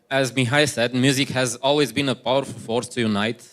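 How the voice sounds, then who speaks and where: A man's voice: medium pitch, fairly even intonation, unhurried, a bit bright. A man with a Romanian accent, a native Romanian speaker, starting a workshop talk and reading from prepared notes in English.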